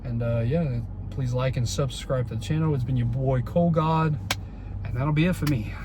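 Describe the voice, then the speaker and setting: A young man talks through a stuffy, congested nose, firing off rhetorical questions in a loose, excitable ramble. His voice sits at an ordinary male pitch. A faint wash of street and car noise runs under him. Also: moderate pace. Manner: excitable, repeats rhetorical questions, many fillers. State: congested from a cold. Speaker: wrestling vlogger. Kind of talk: casual solo opinion vlog.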